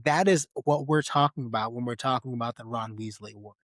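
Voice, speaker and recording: A man's voice: mid-range voice, fast, fairly bright tone, dry recording, studio quality. A man hosting a podcast in a casual, conversational film discussion. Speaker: podcast co-host.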